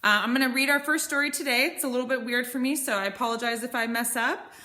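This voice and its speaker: A woman in her thirties reading a picture book aloud as a teacher, expressive and sing-song, explaining simply for children. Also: on the high side, fast talker. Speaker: teacher reading to young pupils.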